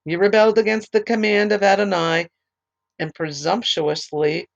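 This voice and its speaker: A woman teaching a Bible study, reading scripture aloud and then explaining it with many ums and restarts, her voice a little hoarse. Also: medium pitch, fairly even intonation, normal speed, dry recording, highs cut off. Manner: reads verses steadily, then talks loosely with many ums and restarts. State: losing her voice, a bit hoarse. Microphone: online recorder, computer setup.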